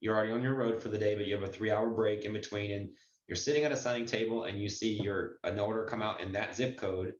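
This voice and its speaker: A man with a fairly low voice hosts an advice session over an online call. He explains things conversationally and with emphasis. The sound is roomy and somewhat dull. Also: fairly even intonation, fast talker. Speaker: host and notary trainer taking questions. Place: group video call.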